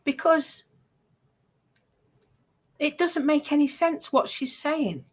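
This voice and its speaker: A woman's voice: high-pitched, slow, a little hiss or hum. A woman chatting casually in a defensive way, often repeating herself.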